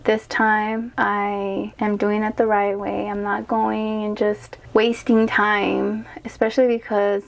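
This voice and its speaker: Rambling late-twenties woman, gravelly voice. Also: mid-range voice, flat delivery, takes it slowly, few pauses. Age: late 20s. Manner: rambling, self-correcting, laughs often; filler-heavy with "like". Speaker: vlogger. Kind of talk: casual chat while doing skincare.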